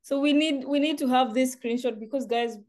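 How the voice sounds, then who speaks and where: A woman's voice: sits high, brisk, a crowd in the background. A woman, a webinar co-organizer, chatting eagerly on a video call.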